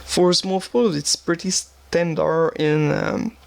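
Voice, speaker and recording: Young male gaming host, Quebec French accent, slightly high voice with a gravelly edge, halting delivery. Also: steady tone. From Quebec, Canada. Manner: halting, many fillers and restarts, searching for words. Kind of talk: game teambuilding commentary.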